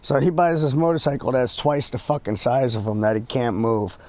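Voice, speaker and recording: A man in his thirties talks through a headset mic during a game stream. He rambles in a loose, profane way and sounds exasperated. His voice sits at an ordinary middle pitch. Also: normal speed, a little mellow. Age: 30s. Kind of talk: casual chat.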